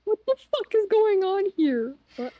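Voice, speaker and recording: A woman's voice: very high voice, very animated, unhurried, dark tone, no room sound, exaggerated voice. A woman voicing a panicked, bewildered little female jester in an amateur cartoon fan dub, stammering and repeating herself, foul-mouthed. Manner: stammering, repetitive, incredulous.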